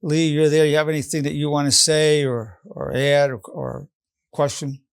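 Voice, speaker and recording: An older man, nearly eighty, delivers a motivational lesson in a gravelly voice that sits a little high. He talks earnestly and hammers home his key phrases. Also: level tone, normal speed. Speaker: psychologist teaching an online lesson. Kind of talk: motivational lecture.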